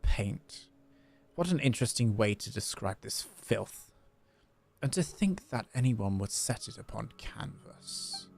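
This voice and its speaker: Man voicing a haughty, unhurried male villain. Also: on the low side, lively intonation, creaky. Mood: disdainful. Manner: haughty, formal, eloquent. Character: aloof, scornful male villain seeking liberation.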